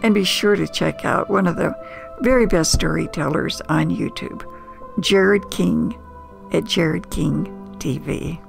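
An older woman with an American accent hosts nostalgic storytelling. Her voice is heavily creaky and her delivery slow and folksy, with emphatic pauses. Soft music plays behind her. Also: on the low side. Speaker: storyteller and host.

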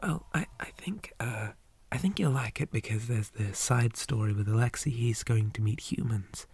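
A man playing a shy, polite zombie boy in an ASMR roleplay, speaking softly, often in whispers, hesitant and flustered with old-fashioned manners. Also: mid-range voice, normal speed. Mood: nerdy, eager. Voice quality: soft, breathy, often whispered.

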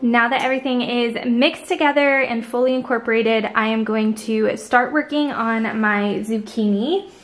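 A woman's voice: a little high, steady tone, moderate pace. A woman giving a casual, friendly and chatty recipe walkthrough as a cooking vlogger. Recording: echoey room, music underneath. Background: background music.

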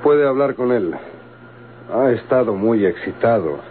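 A man's voice: mid-range voice, lively intonation, a little slow, natural voice. Actor as firm, authoritative Mexican lawman. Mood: firm, cautioning. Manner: formal, authoritative. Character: firm, official male lawyer in charge of prisoner. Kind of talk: golden-age Mexican radio drama acting. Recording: tinny, noisy old radio recording.

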